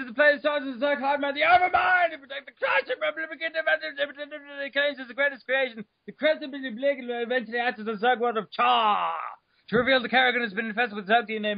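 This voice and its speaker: A man giving a mock-dramatic reading of an article, over the top, bursting into shouts and stumbling over words. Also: extremely high pitch, fairly even intonation, fast, gravelly, no room sound, dull audio. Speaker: reader.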